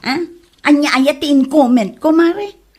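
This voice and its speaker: A woman acting a clueless content creator in a comedy drama, with a high voice. She is offhand and defensive, forever making excuses for herself. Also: a little slow, a little mellow. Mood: defensive, puzzled.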